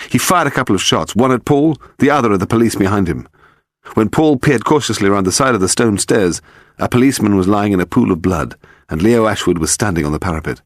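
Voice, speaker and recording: A man's voice: lowish voice, dry recording, clean studio sound. A man reading scripted narration of a crime story as an audiobook narrator.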